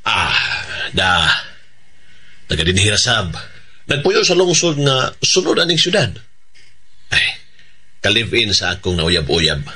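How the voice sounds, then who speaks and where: A man's voice: fairly low pitch, sing-song, slow pace, pauses often, sharp tone, husky, exaggerated voice. A man with a Cebuano Filipino accent playing a cheerful hired killer in a radio drama, deferential to his bosses and chuckling between sentences. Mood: jovial, deferential.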